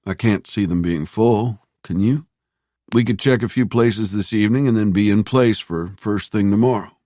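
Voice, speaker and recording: A man's voice: fairly low pitch, moderate pace, dark tone, no echo, clean studio sound, pitched above the performer's own voice, natural voice. A man playing an eager, pressing soldier.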